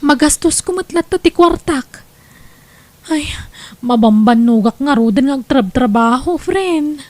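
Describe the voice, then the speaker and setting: A woman's voice: high-pitched, lively intonation, measured pace, darkish tone, dry recording. A woman playing a young, pragmatic mistress in an Ilocano radio drama, pleading her case in a matter-of-fact way. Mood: defensive.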